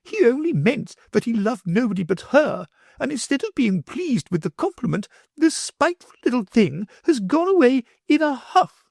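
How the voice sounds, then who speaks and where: A man reading aloud, voicing a shrill, doting, fussy noblewoman who shrieks when excited. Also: normal speed, gravelly, slightly rough, dry recording, studio quality, full character voice. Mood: scolding.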